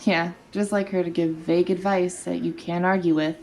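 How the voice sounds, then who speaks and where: A woman playing a tough young female rebel in an audio drama. Her voice is on the low side and dark-toned. She talks bluntly, with impatient, sardonic bite. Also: flat delivery, normal speed, lightly acted. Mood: grumbling, uneasy. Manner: brusque, sardonic.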